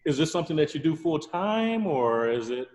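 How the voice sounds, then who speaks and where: A man's voice: fairly high pitch, big pitch swings, normal speed, darkish tone. A man on a video call asking questions politely, often restarting his sentences. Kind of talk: casual video-call conversation. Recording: echoey room with long reverb.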